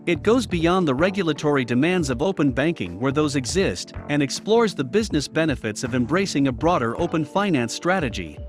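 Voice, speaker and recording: A man reading a scripted corporate video narration. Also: on the high side.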